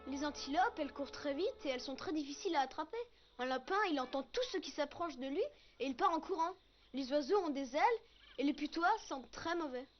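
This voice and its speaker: A woman's voice: high voice, brisk, dull audio, soft background music, natural voice. A woman voicing an earnest little boy raised by wolves, childlike, in a French cartoon dub. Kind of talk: French animated series dub.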